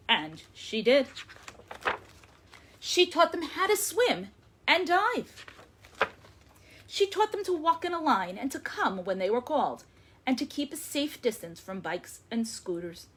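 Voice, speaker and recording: A woman reading a story aloud to young children as a librarian, expressive and emphatic, lingering on words. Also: high-pitched, slight background noise.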